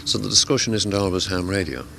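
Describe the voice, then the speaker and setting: A middle-aged man tutoring amateur radio speaks in a very low, gravelly voice. He talks haltingly, filling gaps with "uh" and repeating words. A faint hiss sits behind him. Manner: hesitant, many fillers and repeated words. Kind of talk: conversational interview. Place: radio convention.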